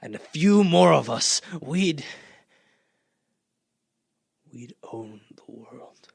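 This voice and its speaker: A man playing a fierce, power-hungry artilleryman in a radio play, plotting intensely in husky, gravelly folksy speech. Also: a little high, lively intonation, very slow, no room sound, heavily acted. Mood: fanatical, exultant. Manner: intense scheming, folksy slang, swelling from hushed to loud.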